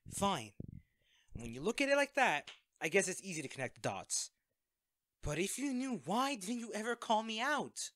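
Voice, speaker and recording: Audio drama role: male actor as caring young secret hero, higher-pitched and bright, sheepish, hesitant delivery. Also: no echo. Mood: flustered, sheepish. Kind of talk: audio roleplay acting, conversational.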